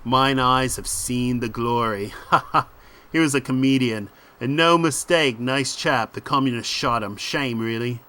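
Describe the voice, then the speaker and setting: A man's voice: mid-range voice, unhurried, sharp tone. A man voicing a cocky, callous British crook with slangy, mocking swagger in a comic book read aloud. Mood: boastful, amused.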